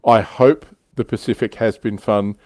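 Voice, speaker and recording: A man with a fairly low voice, recorded close and dry with little room sound. He hosts a casual hobby livestream, chatting with a wry, teasing edge. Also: steady tone, normal speed, mellow, no echo. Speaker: livestream host.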